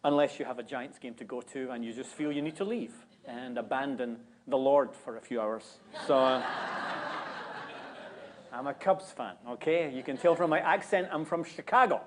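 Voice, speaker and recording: A man's voice: medium pitch, moderate pace, noisy background. A man with a Scottish accent, a guest preacher giving a joking, chatty sermon in a church. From Scotland. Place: church sanctuary.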